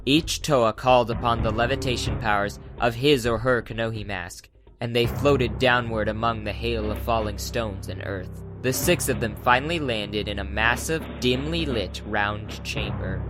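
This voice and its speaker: A man's voice: lowish voice, normal speed. A man giving scripted, dramatic narration for an audiobook adventure. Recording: music under the narration.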